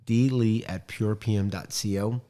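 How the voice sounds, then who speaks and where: A middle-aged man hosting an interview podcast from a home studio, upbeat and joking, with quick interjections into a studio mic. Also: lowish voice, fairly even intonation, mellow. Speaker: podcast host. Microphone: studio mic on boom arm, headphones.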